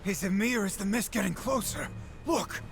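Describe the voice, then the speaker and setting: Jittery, high-voiced pirate. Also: male voice, brisk, vocal fry, background noise. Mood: nervous. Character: jittery, frightened pirate crewman. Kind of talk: dramatic video game acting. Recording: music under the voice.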